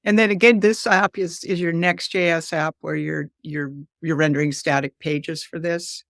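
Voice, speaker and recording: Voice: medium pitch, steady tone, moderate pace, gravelly, a bit bright, no room sound, clean studio sound. A co-host of an interview show, talking casually and guiding the guests through a demonstration.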